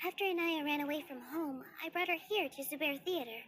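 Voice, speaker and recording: Gentle, high-voiced young dancer. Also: female voice, brisk. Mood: thoughtful, worried. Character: gentle, earnest young female theater dancer. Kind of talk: video game voice acting.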